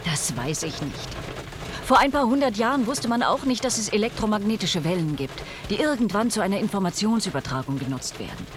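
A woman's voice: medium pitch, big pitch swings, brisk, background noise, natural voice. An actress playing an earnest, composed female scientist who explains things formally and precisely in a German radio play. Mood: calm, explanatory.

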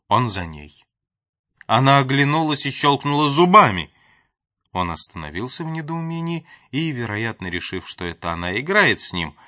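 A man reading a story aloud as an audiobook narrator, with expressive, theatrical and varied delivery. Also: mid-range voice, dry recording.

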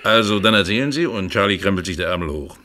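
A sly, talkative smuggler's fixer for a radio crime drama. The voice is low yet sharp and swings freely in pitch. He teases with theatrical, knowing eagerness. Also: expressive, fast talker. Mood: eager, teasing. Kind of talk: 1970s German radio crime drama dialogue.

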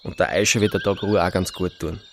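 An actor playing a matter-of-fact rural forester with an Austrian accent and a plain, unfussy, dialect-tinged way of talking. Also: male voice, low-pitched, fairly even intonation, fast, very noisy. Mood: easygoing. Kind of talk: dramatic dialogue. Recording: clear music behind the voice.

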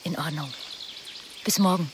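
A woman's voice: deep voice, a little slow, background noise. A woman playing a naive, vain, jilted young glamour girl in a radio drama, with a chatty, theatrical manner. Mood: hurt, hesitant.

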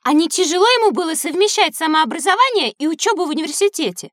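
Earnest child, very high and lively. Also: female voice, quick pace, no room sound, clean studio sound. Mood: curious. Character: inquisitive, earnest, kind-hearted child in a children's tale. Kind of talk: children's audio drama.

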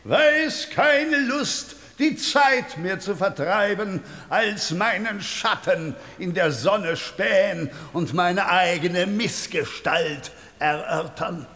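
Theatralischer, langsam deklamierender Bühnenkünstler. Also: male voice, high voice, bright, forceful tone, exaggerated voice. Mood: declamatory. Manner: theatrical, measured. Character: self-important male stage actor and artist. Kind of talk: declamatory radio drama acting.

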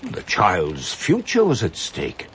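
An actor playing an elderly male judge in a radio drama, speaking in a measured, precise, ominous way. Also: low voice, huge pitch swings, a little hiss or hum, full character voice, rough recording. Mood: grave. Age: older. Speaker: retired judge.

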